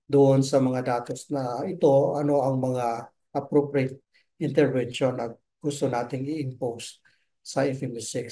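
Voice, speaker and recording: A man speaks as an expert guest in an interview, at an average pitch. His delivery is halting and hesitant as he explains, and he slips English terms into his sentences. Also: mellow. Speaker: expert guest, marine science professor.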